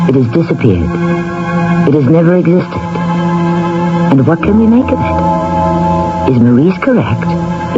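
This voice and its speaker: A man hosting an old-time radio mystery, narrating from a script in a musing, theatrical way full of rhetorical questions. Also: on the high side. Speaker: host and narrator. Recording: muffled vintage radio broadcast. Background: music.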